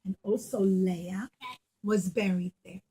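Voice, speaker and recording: A woman's voice: medium pitch, steady tone, takes it slowly, mellow, slightly rough, low-quality recording. A woman preschool teacher telling a story to young children in simple, emphatic phrases, often putting questions to them. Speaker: preschool teacher giving a puppet show.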